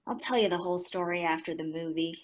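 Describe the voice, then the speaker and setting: A woman's voice: lowish voice, fast talker, lightly acted. A woman acting a frank, bookish tomboy teenager in a radio play, direct and matter-of-fact. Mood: casual.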